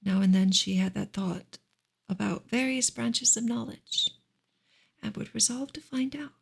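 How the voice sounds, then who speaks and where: A woman gives a soft ASMR reading of a book, breathy and often close to a whisper, in a gentle, measured way. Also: a little high. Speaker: streamer reading a novel aloud.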